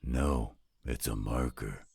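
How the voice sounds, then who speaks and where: Man voicing a gravelly, rock-bottom werewolf stepfather. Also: very deep voice, steady tone, darkish tone, no room sound, full character voice. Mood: matter-of-fact, patient. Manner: gruff, dry, unhurried. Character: gruff, patient older werewolf stepfather. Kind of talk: scripted roleplay audio drama.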